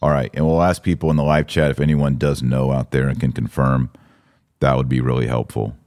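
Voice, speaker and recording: A man's voice: a bass voice, level tone, a little fast, darkish tone, no echo, clean studio sound. A man hosting a live podcast discussion in an opinionated, emphatic, colloquial way. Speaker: podcast host. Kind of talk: live commentary and casual discussion.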